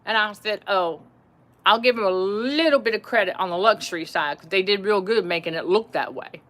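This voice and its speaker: A woman's voice: medium pitch, a little fast, fairly bright tone. A woman hosting a livestream, talking animatedly to her viewers, repeating phrases and laughing often. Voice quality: voice that carries. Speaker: livestream host. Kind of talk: casual livestream talk to viewers.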